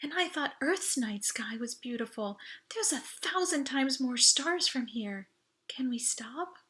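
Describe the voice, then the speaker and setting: Woman voicing an excitable high-pitched teenage girl. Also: unhurried, full character voice. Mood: awestruck, gushing. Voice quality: small, breathy, emotional voice. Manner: exclaiming and questioning, easily amazed. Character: excitable, nervous, awestruck teenage girl in sci-fi story.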